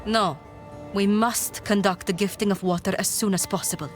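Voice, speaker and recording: A woman's voice: mid-range voice, fairly even intonation, normal speed, close to an everyday voice. A woman playing a determined, regal desert leader in a fantasy game, formal and commanding. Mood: firm. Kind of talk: dramatic fantasy game acting. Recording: music under the voice.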